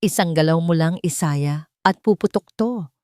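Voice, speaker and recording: A woman's voice: lowish voice, moderate pace, dark tone, no echo, clean studio sound, natural voice. Woman voicing a taunting, vengeful male crime villain. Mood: angry, threatening. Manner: threatening, taunting.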